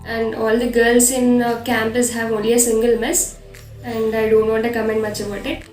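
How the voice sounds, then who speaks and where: A woman's voice: a little high, level tone, normal speed, darkish tone. A young adult woman with a South Indian accent, a college student giving a testimonial about campus life. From Karimnagar, India. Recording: roomy echo, distant mic, music behind.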